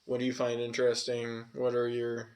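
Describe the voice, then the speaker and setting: A man's voice: mid-range voice, completely flat delivery, moderate pace, darkish tone. A man from California with a slight Southwestern tinge, co-hosting a podcast in rambling casual chat with lots of fillers and swearing. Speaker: podcast co-host.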